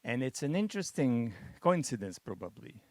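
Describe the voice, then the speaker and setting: A man from Hungary, a Hungarian speaker, making halting remarks in a masterclass discussion, full of restarts and fillers. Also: medium pitch, big pitch swings, darkish tone, no room sound. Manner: hesitant, many restarts and fillers. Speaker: masterclass participant.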